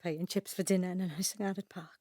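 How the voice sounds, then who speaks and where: A grown-up Welsh daughter , played by an actress, in a naturalistic audio drama. Her voice sits on the low side and is recorded very dry. She is dutiful but guarded and restrained, with a South Wales accent. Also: steady tone, racing, warm tone, dry recording, natural voice. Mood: wistful, upset.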